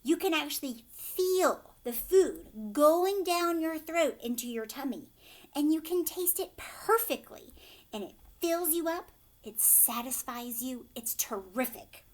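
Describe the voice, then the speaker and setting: A woman voicing an excitable, proud male candy inventor with grandiose, emphatic delivery, as in a storybook reading. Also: high voice, unhurried, voice pushed up. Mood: enthusiastic.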